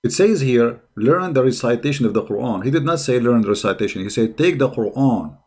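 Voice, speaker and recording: A man's voice: medium pitch, expressive, brisk, darkish tone. Combative man. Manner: combative, mocking, repeats phrases and asks rhetorical questions. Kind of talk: spontaneous polemical commentary.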